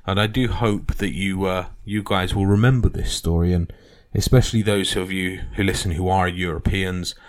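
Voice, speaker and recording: Deep-voiced man hosting a podcast. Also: fairly even intonation, normal speed, few pauses. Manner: rambling, earnest, many fillers. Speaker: history podcast host. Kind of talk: casual podcast monologue.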